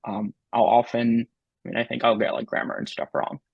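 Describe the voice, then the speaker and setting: A man speaking as an interview guest in a casual, halting way, full of ums and likes. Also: medium pitch, creaky, husky, dry recording, highs cut off. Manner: halting, filler-heavy, lots of "like" and "um". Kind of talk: casual conversation.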